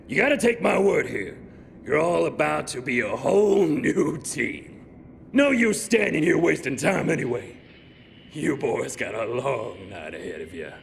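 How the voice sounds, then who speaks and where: A man playing a grim high school sports coach with a gravelly voice in a video game. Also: heavily acted. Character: high school sports coach, sly, grimly promising a night of practice. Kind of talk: video game cutscene acting. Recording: faint background noise.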